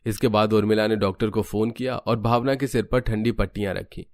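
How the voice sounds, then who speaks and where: A man's voice: lowish voice, fairly even intonation, brisk, a little mellow. A man narrating a scripted cartoon family story as a storyteller.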